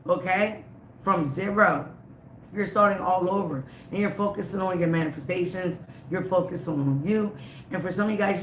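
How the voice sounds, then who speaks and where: A tarot reader giving a live reading, emphatic and repetitive, slightly raspy from a cold. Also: mid-range voice, a bit bright, roomy sound, slight background noise. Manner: emphatic, repeats phrases, frequent "okay?" and "all right?".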